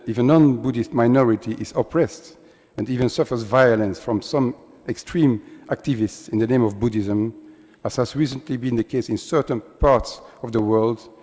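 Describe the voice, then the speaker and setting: A man with a mid-range voice reads a prepared speech in a measured, formal way. His words ring out with a long reverb through a large conference hall. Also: mid-range voice, darkish tone. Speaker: conference speaker.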